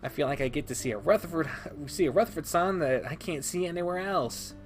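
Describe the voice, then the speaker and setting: A man playing a shy, easily embarrassed teenage boy with a soft, sheepish manner. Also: on the high side, brisk, faint music behind, pitched above the performer's own voice, lightly acted. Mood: sheepish, earnest.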